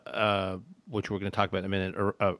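Male podcast host, low-pitched, halting friendly questions, dry close sound. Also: completely flat delivery, a bit bright, no echo. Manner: friendly, halting, full of restarts. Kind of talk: casual interview hosting.

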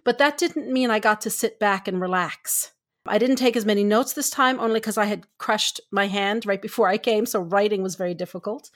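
A woman's voice: mid-range voice, a little fast. A woman from Canada co-hosting a podcast, talking in a casual, chatty way and laughing easily. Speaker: podcast co-host, story editor.

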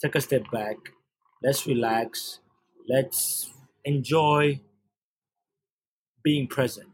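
Husky-voiced man from Uganda. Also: medium pitch, steady tone, very slow, poor audio. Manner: earnest, repeats and restarts phrases. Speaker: youth sports coach. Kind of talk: casual podcast monologue.

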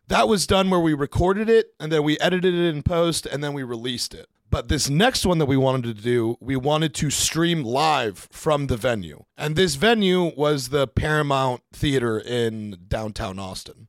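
A man telling work stories in a casual, animated way, swearing freely and acting out the conversations as he goes. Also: a little high, no echo. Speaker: podcast studio staff member telling work anecdotes. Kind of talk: casual storytelling to camera.

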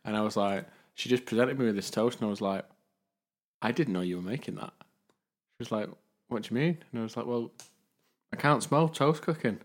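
A man's voice: on the low side, fairly quick, long pauses. Sniffly man bantering. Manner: laughing, rambling banter. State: sniffly with a cold. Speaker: podcast co-host. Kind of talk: casual chat.

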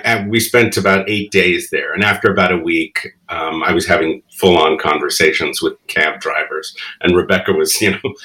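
Man, fifties, from the Bronx, Spanish first language, very low pitch, rambling storyteller. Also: pushed and bright, rough recording. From the Bronx, New York. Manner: rambling, reflective storytelling with frequent laughs. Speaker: guest. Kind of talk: casual interview chat.

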